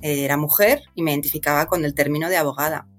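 Low-pitched middle-aged woman with Madrid accent. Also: steady tone, fast. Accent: Madrid Spanish. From Madrid, Spain. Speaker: interview guest, lawyer. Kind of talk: casual conversational interview.

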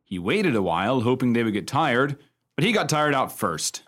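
An actor playing a wry storyteller in a radio play, telling a ghost yarn in a dry, emphatic way. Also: male voice, mid-range voice, sing-song, normal speed, a bit bright. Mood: relishing, emphatic.

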